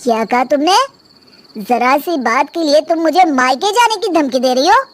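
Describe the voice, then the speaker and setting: A woman's voice: sits high, animated, moderate pace. A woman voicing a stern, exasperated young husband in a moral story, with a reproachful way of questioning. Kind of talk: Hindi moral-story drama.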